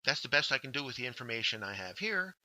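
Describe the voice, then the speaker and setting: A man narrating a scripted instructional workshop, explaining step by step with deliberate emphasis on key words. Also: mid-range voice, big pitch swings, sharp tone, dry recording, rough recording. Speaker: workshop instructor, journal editor.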